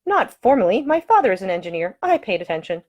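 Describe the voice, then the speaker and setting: Woman reader as earnest young lady, brisk, prim, technically minded, audiobook romance dialogue. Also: mid-range voice, sing-song, a little mellow, lo-fi sound.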